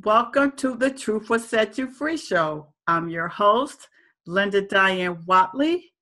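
A woman's voice: medium pitch, animated, slow pace, pushed and bright. A middle-aged woman, interviewing conversationally as a talk show host on a video call. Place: indoor room.

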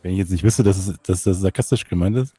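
A man's voice: sits low, fast, warm tone, faint music behind. A man playing a role-play game, bantering casually in a dry, questioning way.

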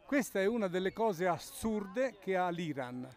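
A man filming a casual travel vlog on a handheld action camera, chatting and slowly repeating words to locals in an outdoor bazaar and mosque courtyard. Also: high voice, big pitch swings. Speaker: travel vlogger. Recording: busy crowd noise outdoors.

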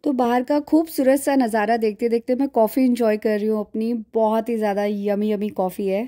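Woman, 40s, casual travel vlogger, slightly high voice, phone mic at arm's length, loud airliner cabin hum behind her. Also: steady tone, fairly quick. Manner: chatty, describing food and seats as she films. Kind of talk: casual vlog chat to camera. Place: airport lounge and airliner cabin. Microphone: phone camera at arm's length. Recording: loud aircraft cabin noise. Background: airplane engine and cabin hum.

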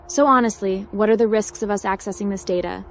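A woman plays a young cyber-runner captain in a video game. She talks quickly and directly at a medium pitch, with an inquisitive manner and faint music underneath. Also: natural voice. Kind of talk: scripted roleplay dialogue for a video game.

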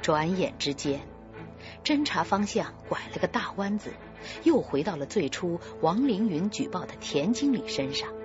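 Low, lively female drama narrator over music. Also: normal speed, dull audio. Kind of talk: scripted suspense narration.

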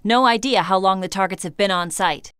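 An actress playing a composed intelligence officer in a video game, giving matter-of-fact briefings. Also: female voice, medium pitch, brisk, a bit bright, smooth, no room sound, clean studio sound, close to an everyday voice. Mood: businesslike. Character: composed female covert-agency intelligence officer. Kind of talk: video game cutscene acting.

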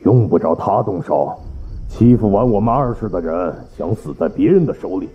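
A man voicing a gruff, angry, aggrieved male department director in an audiobook, with a gravelly voice. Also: sits low, animated, moderate pace, muffled recording. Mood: menacing. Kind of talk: audiobook character acting.

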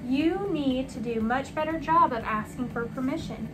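A woman voicing a firm, patient father who instructs his son in a measured way in a storybook. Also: high-pitched, noisy background, natural voice. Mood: firm, instructive.

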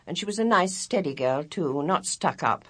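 An actress playing a chatty, gossipy village nurse in a radio drama. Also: female voice, low voice, animated, normal speed, fairly bright tone. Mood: confiding, gossipy.

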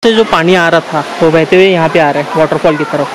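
A man, casually narrating a travel vlog as he walks, pointing things out to viewers in an unhurried way. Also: on the high side, fairly even intonation. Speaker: travel vlogger. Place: outdoors on a forested trail by a stream and waterfall. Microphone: handheld camera at arm's length. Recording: noisy outdoors with rushing water.

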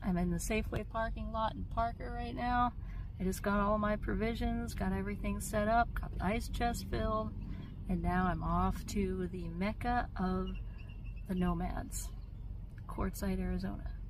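Middle aged lady doing a chatty upbeat vlog, average pitch, phone recording with noticeable ambient noise. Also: fairly even intonation, moderate pace. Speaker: vlogger. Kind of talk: casual vlog chat to camera. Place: parked car and open desert outdoors. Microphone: phone at arm's length. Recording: noisy outdoor phone video, ambient vehicle noise.

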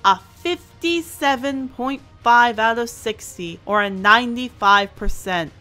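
A woman's voice: fairly high pitch, measured pace, sharp tone. A woman hosting a scripted review, speaking in an earnest, emphatic way with somewhat formal phrasing. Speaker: host and reviewer. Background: background music.

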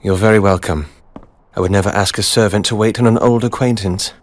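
An actor playing a reserved, courteous gentleman in an animated game, speaking in a measured, understated way. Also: fairly low pitch, lightly acted. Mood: polite, modest. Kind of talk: animated game cutscene acting.

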